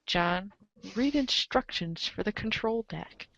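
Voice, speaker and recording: A woman's voice: mid-range voice, expressive, slow pace, a bit bright, dull audio. A woman reading a comic aloud as the show's narrator, theatrical and playful, slipping into casual asides. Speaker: narrator of a comic read-along show.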